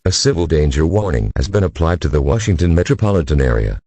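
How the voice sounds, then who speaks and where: A man's voice: very deep voice, expressive, quick pace, heavy background noise. A man reading scripted emergency alerts as an announcer, in a formal, synthetic-sounding delivery.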